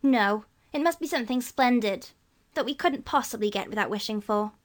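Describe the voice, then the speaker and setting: A woman's voice: a little high, brisk, lightly acted. A woman voicing an impatient young boy who wants something splendid. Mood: eager. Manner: eager, a bit snappy.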